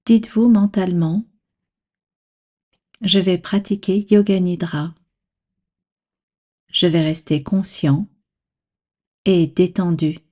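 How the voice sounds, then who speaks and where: A woman guiding a relaxation practice as a meditation instructor, speaking calmly and soothingly in measured instructions with long pauses. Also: fairly low pitch. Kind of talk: guided yoga nidra relaxation.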